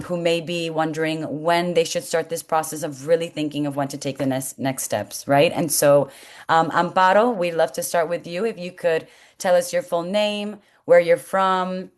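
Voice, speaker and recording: A woman hosting a webinar in an encouraging, semi-formal way, introducing guests and asking them questions. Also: on the low side. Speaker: host, college coaching staff.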